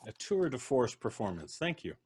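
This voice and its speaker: A man's voice: mid-range voice, moderate pace, slightly rough. A man hosting a live podcast stream, chatting casually and thanking his guests as he wraps up. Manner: relaxed, chuckling, thanking guests. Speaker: podcast host.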